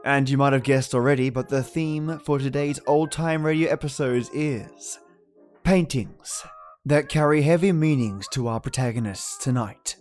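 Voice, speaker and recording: A man's voice: medium pitch, normal speed, darkish tone. A man hosting a podcast, delivering a scripted introduction in a theatrical way that savours each phrase. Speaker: podcast host. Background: music behind the voice.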